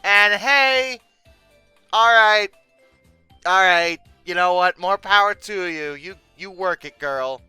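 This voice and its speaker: A video host giving comedic commentary on pictures with theatrical mock exasperation, swinging between deadpan asides and outbursts. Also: medium pitch, slow pace, clean tone, no room sound, faint music behind.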